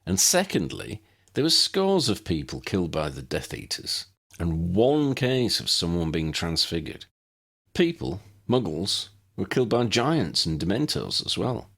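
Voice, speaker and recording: A man's voice: sits low, very animated, unhurried, creaky, a bit bright, breathy edge, exaggerated voice. Man acting an earnest, swooping young man. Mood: argumentative. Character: earnest, reasoning young man, mildly chiding.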